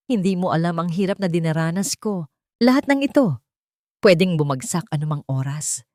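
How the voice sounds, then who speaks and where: A woman's voice: on the low side, animated, normal speed, warm tone, no echo, studio quality, lightly acted. A woman voicing an angry, defensive husband under pressure in a narrated drama story. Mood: angry, frustrated.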